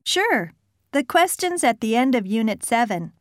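A woman voicing students, a professor and an office clerk in scripted exam dialogues, with a clear, polite delivery. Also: a little high, very animated, moderate pace, no echo, clean studio sound.

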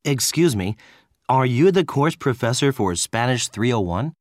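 A man voicing students and a professor in scripted dialogues for a listening exam, with a clear, friendly delivery. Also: medium pitch, expressive, normal speed, no room sound, clean studio sound.

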